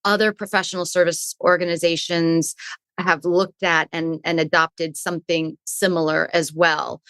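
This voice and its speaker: A woman's voice: fairly low pitch, flat delivery, moderate pace, fairly bright tone, smooth, dry recording. A woman speaking as a guest in an interview, a resilience coach who explains her points thoughtfully and often restarts her phrases. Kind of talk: conversational interview answers.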